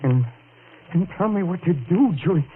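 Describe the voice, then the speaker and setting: An actor playing an anguished, self-loathing man guilty of murder, halting and melodramatic, in the style of 1940s radio horror. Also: high-pitched, expressive. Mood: frantic, pleading. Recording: tinny, noisy vintage radio recording.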